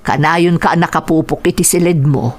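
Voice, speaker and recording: An actress with an Ilocano accent playing a gentle, patient middle-aged mother in a radio drama. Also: sits low, fairly even intonation, moderate pace. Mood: worried. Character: gentle, patient, self-sacrificing mother of grown children.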